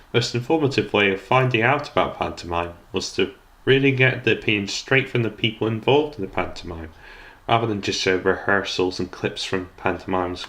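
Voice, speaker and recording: A man's voice: fairly low pitch, fairly bright tone. A young man reading a scripted, reflective commentary in formal wording, stumbling now and then as he reads. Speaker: student documentary maker.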